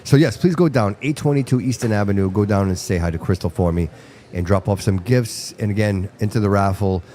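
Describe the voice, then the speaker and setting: A man whose first language is Portuguese, hosting a live show from a restaurant and chatting in an animated, rambling way with repeated phrases. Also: on the low side, brisk. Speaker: host. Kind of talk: casual live-stream chat. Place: restaurant venue. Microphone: headphones with mic.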